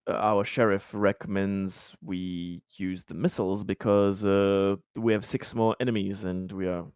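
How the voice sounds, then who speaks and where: A man playing a tabletop roleplaying game, speaking in character with deliberate, somewhat formal phrasing and casual asides. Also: low voice, level tone, darkish tone, smooth, dry recording, clean studio sound.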